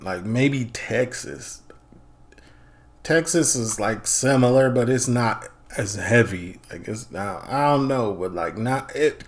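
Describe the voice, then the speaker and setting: A man hosting a reaction video, talking in animated, slang-heavy remarks. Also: medium pitch. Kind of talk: casual reaction commentary.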